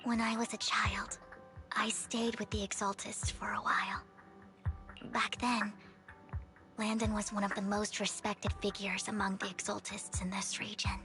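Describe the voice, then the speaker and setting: Game role: earnest, formal young woman with old hurts, bright tone, faint music behind. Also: medium pitch, little pitch movement. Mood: pained, resolute. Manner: formal, measured, dramatic. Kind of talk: English video game voice acting.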